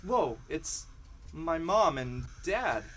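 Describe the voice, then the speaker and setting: Brief: excitable homesick boy, played by a man, slightly high voice, faint hiss behind. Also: drawn-out words, full character voice. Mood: curious. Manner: excitable, cries out when startled. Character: young schoolboy Leo, earnest and homesick. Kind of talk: amateur radio drama acting.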